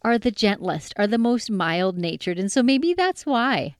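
A woman's voice: mid-range voice, sing-song, measured pace, clean tone, no echo, clean studio sound. A woman from northern British Columbia, hosting a casual interview in a chatty, affirming way. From northern British Columbia, Canada. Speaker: interviewer, host.

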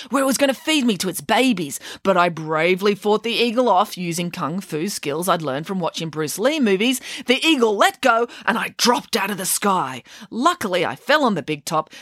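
A woman's voice: mid-range voice, fairly bright tone, no echo, studio quality, pitched above the performer's own voice. A woman voicing a naive, whiny little puppet boy from a children's storybook, childlike and wailing in manner.

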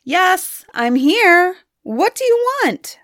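A woman's voice: mid-range voice, big pitch swings, a little slow, no room sound, clean studio sound. A woman voicing a bold, cheeky young boy in a storybook, gleeful and taunting.

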